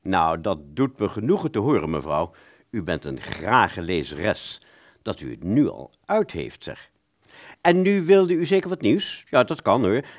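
Actor as fussy, animated male shop assistant. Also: lowish voice, unhurried, exaggerated voice. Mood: obliging. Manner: fussy, patronizing, hesitant. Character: courteous, pedantic, evasive bookshop salesman. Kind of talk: radio play dialogue.

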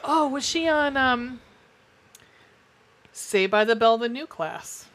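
A woman in her forties with a deep voice, co-hosting a casual movie recap, swearing and laughing often. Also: animated, takes it slowly, fairly bright tone. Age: 40s. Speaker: podcast co-host.

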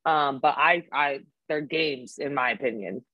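A woman in her twenties with a notably low voice, chatting bluntly as a podcast co-host. She swears freely and gets excited about sports. The sound is dry and close, with no room echo. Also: measured pace, dull audio. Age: 20s. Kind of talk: casual chat.

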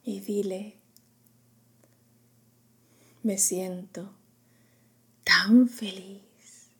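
A woman guiding a meditation in a soft, whispery, soothing voice, in short hushed phrases with long pauses. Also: mid-range voice, steady tone. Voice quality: breathy, whispery. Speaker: meditation guide.

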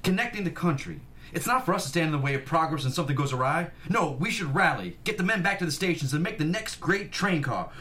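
A man's voice: on the high side, lively intonation, fast, bright, forceful tone. A man playing a stern, domineering 1890s industrialist in a period drama table read, pompous and oratorical. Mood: impassioned, rallying. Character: stern, domineering 1890s industrialist boss, grandly self-righteous. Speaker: actor.

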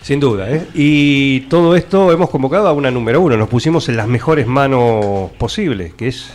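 A man's voice: mid-range voice, brisk, a little mellow. A man with an Argentine accent presenting a casual, comic live radio show as host and announcer.

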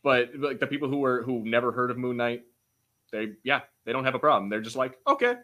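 A man co-hosting a podcast in an informal, halting way, chatting and reading out comments with the odd swear word. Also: medium pitch, lively intonation, pushed and bright. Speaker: podcast co-host. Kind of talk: casual chat, reads out viewer comments.

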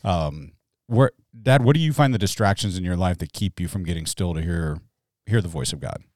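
A pastor in his forties hosts a casual talk with a relaxed, joking manner. His voice sits low, and the sound is dry and close-miked. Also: fairly quick, no room sound. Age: 40s. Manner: chatty, joking, full of fillers. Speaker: pastor and podcast host. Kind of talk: casual podcast conversation.

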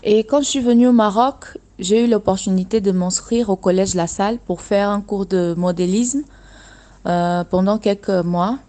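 A young woman from Angola, a native Portuguese speaker, talking earnestly about her work in a radio interview and often pausing to find her words. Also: mid-range voice, mellow. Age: young adult. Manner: earnest, searching for words with restarts. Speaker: guest, dressmaker running her own workshop.

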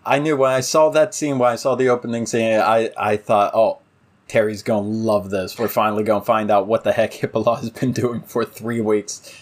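A man's voice: lowish voice, little pitch movement, fairly quick. A man co-hosting a podcast in a casual discussion, with a wry, playfully exaggerating way of talking. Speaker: podcast co-host.

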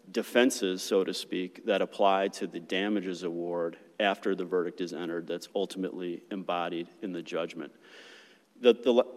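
A man arguing as attorney for the appellant before an appellate court, earnest and deliberate as he cites case law. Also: sits low. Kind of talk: appellate oral argument. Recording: long courtroom reverb.

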